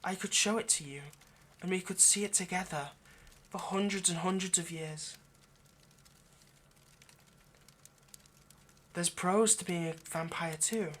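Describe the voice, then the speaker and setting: A man's voice: high-pitched, moderate pace, few pauses, a little hiss or hum. A man playing an earnest, lovestruck vampire in an intimate roleplay monologue, speaking hesitantly and persuasively. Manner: hesitant, stammering, gently persuasive. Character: earnest, lovestruck male vampire coaxing a partner.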